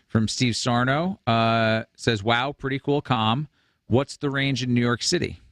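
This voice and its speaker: A man's voice: mid-range voice, fairly even intonation, measured pace, fairly bright tone, no echo. A man in his forties moderating a webinar in a conversational way, speaking into a close studio microphone. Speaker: host and moderator. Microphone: close broadcast mic on a boom arm.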